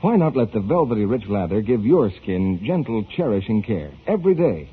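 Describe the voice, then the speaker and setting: A man's voice: on the low side, very animated, fairly quick, breathy edge. A man working as a 1940s radio announcer, with a polished, emphatic sales delivery. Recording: tinny muffled 1940s radio broadcast.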